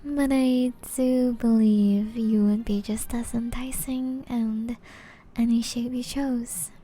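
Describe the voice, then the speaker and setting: Casting: actress as seductive aristocratic vampire woman for ASMR roleplay; mellow, unhurried, breathy and refined, music underneath. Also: on the high side, fairly even intonation. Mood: charming, sincere. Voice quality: soft, breathy, often whispery. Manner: refined, formal, teasing, slow and deliberate.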